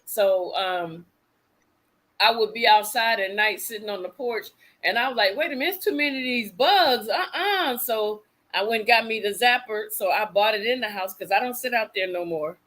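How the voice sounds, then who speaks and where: A woman's voice: medium pitch, animated, normal speed, fairly bright tone. A woman hosting a livestream in casual chat, rambling and acting out what people said. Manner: chatty, rambling, acts out quotes. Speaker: livestream host. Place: desert home.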